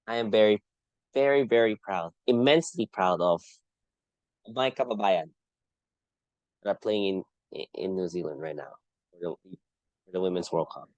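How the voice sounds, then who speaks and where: Earnest man, low-voiced. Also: darkish tone, no echo, dull audio. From Philippines. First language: Tagalog. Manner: earnest and halting, often restarting phrases mid-thought. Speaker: podcast host. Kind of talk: solo sports commentary.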